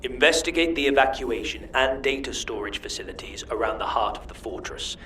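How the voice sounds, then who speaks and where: A scheming alien magister from a video game, played by a man, intense and precise in his phrasing. He speaks at an ordinary male pitch with a formal, theatrical delivery, and noticeable ambience sits behind the voice. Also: expressive, a little fast, noisy background, natural voice. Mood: calculating.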